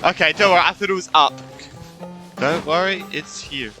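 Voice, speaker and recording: A man's voice: fairly high pitch, normal speed, bright, forceful tone. A young man giving casual, informal and rambling commentary as he plays through a video game. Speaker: gaming YouTuber. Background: game music.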